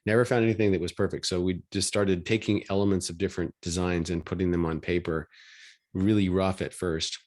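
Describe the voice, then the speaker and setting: A man's voice: low voice, level tone, a little fast, darkish tone. A middle-aged man from Washington State telling a story in a matter-of-fact, chatty way on a video call. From Washington State, USA.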